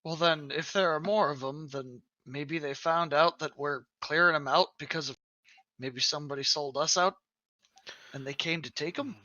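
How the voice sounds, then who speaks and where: A man playing in a tabletop game, chatting in an animated, casual way. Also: fairly high pitch, normal speed, no room sound. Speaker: player. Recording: dry, muffled mic.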